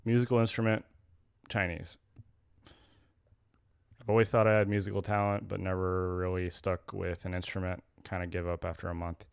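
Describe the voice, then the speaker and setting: Young male podcast co-host, low and gravelly, rambling and casual. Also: little pitch movement, normal speed, no room sound, clean studio sound. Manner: rambling, self-correcting.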